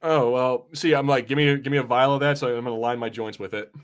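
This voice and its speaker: A man co-hosting a podcast, chatting informally in a halting way with slang and swearing. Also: medium pitch, steady tone, clean tone. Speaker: podcast co-host. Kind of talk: casual film chat on a watch party.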